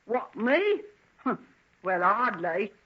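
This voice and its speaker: A husky-voiced housekeeper in a vintage radio play. She is bitter and guarded, answering in a blunt, scornful, evasive way. The recording is dull and lo-fi. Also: medium pitch, sing-song, slow, full character voice. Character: bitter, guarded working-class woman housekeeper. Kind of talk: 1940s radio acting.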